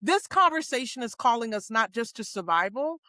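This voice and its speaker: Emphatic higher-voiced woman host. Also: expressive, moderate pace, pushed and bright, dry recording. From United States. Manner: emphatic, repetitive, tags points with "right?". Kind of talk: faith-based podcast conversation.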